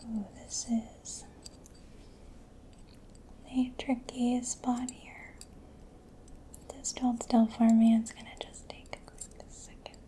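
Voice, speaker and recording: A woman whispering in a breathy, gentle, unhurried murmur for an ASMR roleplay, close to the microphone. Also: fairly high pitch, little pitch movement. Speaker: ASMR creator playing a robot mechanic. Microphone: close-up sensitive microphone. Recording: close-up whisper with clear background noise.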